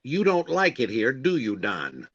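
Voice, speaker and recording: A man's voice: mid-range voice, wildly swooping pitch, unhurried, a bit bright, husky, full character voice. A man playing a self-important radio comedy star in an old-time comedy style. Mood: vain, wheedling. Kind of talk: 1940s radio comedy acting. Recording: slightly dull, band-limited sound.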